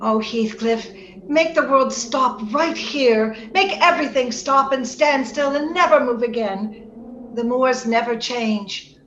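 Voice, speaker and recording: A high-pitched, impetuous young heroine of a radio melodrama, played by a woman, theatrical and headstrong in her passion. The voice sits in a roomy, slightly dull video-call recording with faint noise. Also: slow, fairly bright tone. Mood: rapturous, tender. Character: Kathy, passionate, willful young woman of the moors. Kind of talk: old-time radio drama acting. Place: indoor room, remote video-call session.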